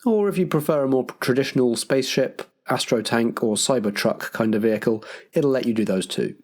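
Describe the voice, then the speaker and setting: A man's voice: medium pitch, fairly quick, darkish tone. A man acting as compere, delivering scripted programme notes as a podcast host.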